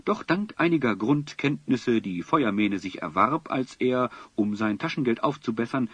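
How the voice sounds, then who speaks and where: A man narrating a German audio drama in an elaborate, literary and gently ironic storyteller's manner. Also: on the low side, slightly rough, dry recording, full character voice. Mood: wry. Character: measured storytelling male narrator. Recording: dull lo-fi tape, music behind.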